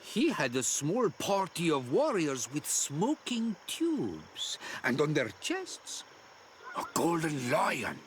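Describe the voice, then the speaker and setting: An actress playing an enigmatic, forgetful old island hermit woman in a video game, musing in halting phrases. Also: low-pitched, wildly swooping pitch, a little hiss or hum, exaggerated voice. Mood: curious, wary. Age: older. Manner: slow, cryptic, musing, halting. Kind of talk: video game character acting.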